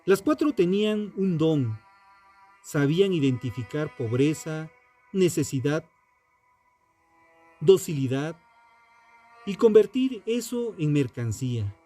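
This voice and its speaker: Male narrator for true crime: mellow, dark-toned, unhurried and grave, sententious scripted delivery over music. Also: a little high, very animated. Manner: solemn, deliberate, sententious. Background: background music.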